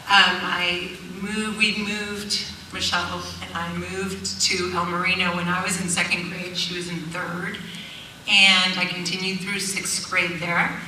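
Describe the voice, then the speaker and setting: A middle-aged woman talks earnestly on a panel, her voice bright and ringing. She is heard from some distance in a very echoey hall, with faint noise underneath. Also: on the low side. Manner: earnest, fillers and restarts. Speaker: panelist, immersion teacher. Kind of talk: panel talk. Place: event hall. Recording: echoey hall, far from mic.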